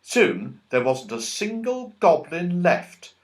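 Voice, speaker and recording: Animated, high-voiced man reading a children's story. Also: takes it slowly. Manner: dramatic, emphatic storytelling. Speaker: audiobook narrator.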